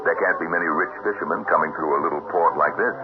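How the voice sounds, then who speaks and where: An actor playing a wary middle-aged drifter and former boat owner in a 1970s radio drama. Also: male voice, low voice, fast talker, loud music behind the voice, lightly acted. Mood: friendly, curious. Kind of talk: 1970s radio drama acting and first-person narration. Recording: muffled narrow-band 1970s radio broadcast.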